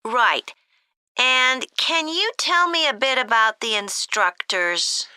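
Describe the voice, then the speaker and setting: An inquiring mother on an enquiry call. Her voice is high and very crisp, with lively swings in pitch. She is polite, in the scripted manner of a language test dialogue. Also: slow, no room sound, full character voice. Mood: politely curious. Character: friendly mother phoning to ask about a kids' camp. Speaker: caller. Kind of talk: acted phone enquiry for a listening test.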